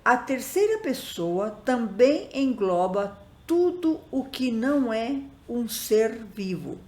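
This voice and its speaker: A woman teaching a language class online, explaining grammar to students in a didactic, deliberate way with frequent pauses between phrases. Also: on the high side, big pitch swings, darkish tone, echoey room.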